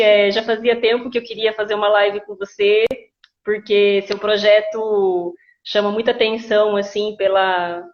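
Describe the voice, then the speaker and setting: A woman with a Brazilian Portuguese accent hosting a live online interview, rambling with many restarts. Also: medium pitch. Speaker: host. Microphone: video call. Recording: dull lo-fi sound.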